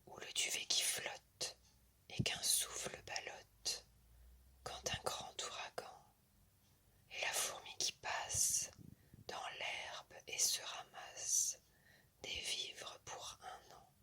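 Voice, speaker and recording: A woman whispers a poem for ASMR in a crisp, very bright, breath-only voice. She moves extremely slowly, setting down each short phrase softly and with care. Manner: soft, deliberate whispering in short measured phrases. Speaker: ASMR poetry reader. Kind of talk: whispered ASMR reading of classic verse.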